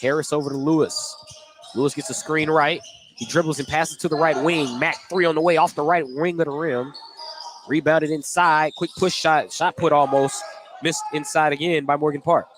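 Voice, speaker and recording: A man's voice: fairly high pitch, fairly even intonation, moderate pace, fairly bright tone. A man calling live basketball play-by-play on the radio from a gym, given to chuckling between calls. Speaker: play-by-play announcer. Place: indoor basketball gym, courtside. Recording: noisy gym broadcast. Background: game noise, bouncing basketball.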